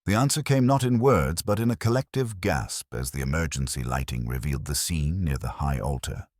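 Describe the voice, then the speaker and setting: A man reading a scripted mystery story as an audiobook narrator, in a measured, literary and dramatic storytelling manner. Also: extremely low pitch, expressive, dry recording, clean studio sound.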